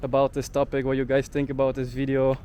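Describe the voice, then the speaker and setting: A man's voice: medium pitch, completely flat delivery, fast, mellow, a little hiss or hum. A young man in a ranting vlog monologue, indignant and peppering his talk with "like.". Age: young adult. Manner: indignant, heavy use of "like" fillers. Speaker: travel vlogger.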